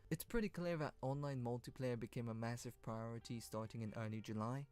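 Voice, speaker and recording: A man's voice: mid-range voice, fairly even intonation, brisk, darkish tone, smooth. A man reading scripted, informative narration for an online video. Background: background music.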